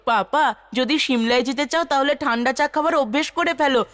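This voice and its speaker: Woman as a high-pitched cheeky little cartoon boy. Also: fast, background noise, close to an everyday voice. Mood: scheming. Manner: sarcastic, pestering. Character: cheeky, argumentative younger brother, a small cartoon boy. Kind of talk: cartoon dub acting.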